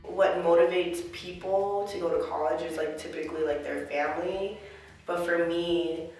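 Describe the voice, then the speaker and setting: A woman's voice: on the low side, fairly even intonation, normal speed, a little mellow, faint music behind. A young woman from the United States answering interview questions about her life as a college student, reflective and earnest, with plenty of filler words. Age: young adult. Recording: echoey room, mic at a distance.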